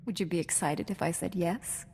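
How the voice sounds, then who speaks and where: An actress playing a composed, gently teasing young Jedi knight in a video game, measured and earnest. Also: female voice, fairly low pitch, gravelly, in a large hall, slight background noise. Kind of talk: video game cutscene acting.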